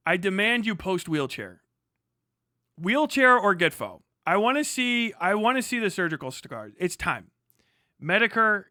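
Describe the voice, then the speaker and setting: A man's voice: sits high, sharp tone, no echo, clean studio sound. A man hosting a livestream, giving sarcastic, exasperated and profane mocking commentary. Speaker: livestream host.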